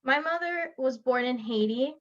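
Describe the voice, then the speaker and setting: A young woman from South Florida gives a personal narrative speech over a video call, earnest, pausing and restarting now and then. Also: high voice, fairly bright tone. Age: young adult. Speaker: student speaker. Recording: lo-fi online call audio.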